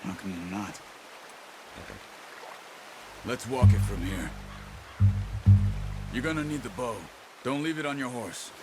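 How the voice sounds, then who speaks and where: A man playing a calm, stoic outlaw hunter in a western video game, speaking tersely and quietly as he instructs. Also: mid-range voice, slow pace, pauses often, loud music behind the voice, natural voice. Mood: hushed, focused.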